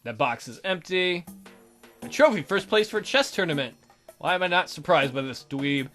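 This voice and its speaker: A woman's voice: fairly low pitch, animated, normal speed, a bit bright. A woman doing a game playthrough, reading text aloud and adding wry, sarcastic asides. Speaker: let's player. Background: game music.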